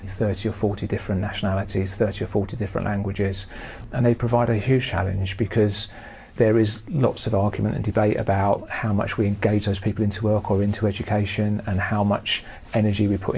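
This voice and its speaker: A man, a prison official, explaining in a measured way. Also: fairly low pitch, level tone, vocal fry, darkish tone, slight background noise. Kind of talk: interview answers.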